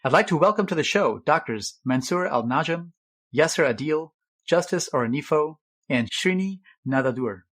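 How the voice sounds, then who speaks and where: A man's voice: on the high side, expressive, normal speed, a bit bright. A man hosting a medical podcast, speaking in an upbeat, gushing way. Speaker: podcast host.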